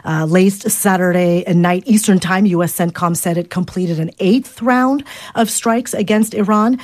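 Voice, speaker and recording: A woman correspondent reports from abroad in a plain, matter-of-fact voice. Her voice sits somewhat low, and the sound is close and dry. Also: a little slow, a little mellow, no echo. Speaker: foreign correspondent. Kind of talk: news report.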